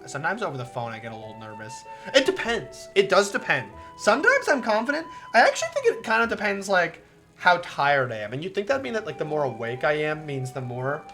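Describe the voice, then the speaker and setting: A woman streamer chatting casually while playing a visual novel, rambling and swearing, with a soft, relaxing voice. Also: sits low. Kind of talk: casual livestream commentary over a visual novel.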